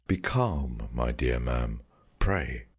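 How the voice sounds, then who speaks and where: A woman voicing a calm middle-aged male doctor, speaking slow and earnest. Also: very deep voice, husky, voice pushed down, exaggerated voice. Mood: soothing.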